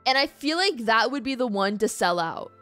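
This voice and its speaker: A woman's voice: on the high side, animated, normal speed, a bit bright. Chatty woman livestreamer over background music. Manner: informal, playful, mildly profane. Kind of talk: casual chat with live audience.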